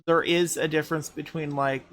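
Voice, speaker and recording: A panelist from Ontario, Canada, talking haltingly with many "uh"s in a casual chat. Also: mid-range voice, steady tone, fairly bright tone.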